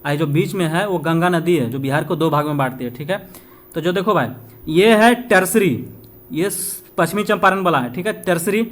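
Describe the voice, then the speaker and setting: Man, high voice, exam coach, informal revision lecture, self-answered questions, repeated points. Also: normal speed. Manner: repetitive, asks questions then answers them, chatty check-ins with listeners. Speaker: exam-prep teacher.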